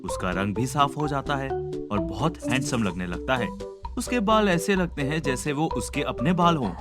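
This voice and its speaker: A man's voice: mid-range voice, expressive, moderate pace. A man reading scripted narration for a cartoon story. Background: background music.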